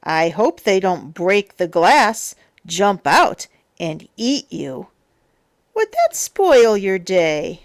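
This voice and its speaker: A woman reading a children's picture book aloud as a storyteller, in a theatrical, playful, emphatic way with drawn-out words. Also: medium pitch, sing-song. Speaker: storyteller, teacher.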